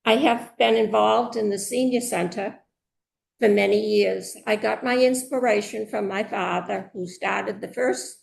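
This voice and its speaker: An older woman from Massachusetts introducing herself at a public meeting in a measured, deliberate way. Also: mid-range voice, fairly even intonation, vocal fry, a little hiss or hum, rough recording. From Belmont, Massachusetts, US. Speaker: retired teacher, committee applicant. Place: indoor public meeting room.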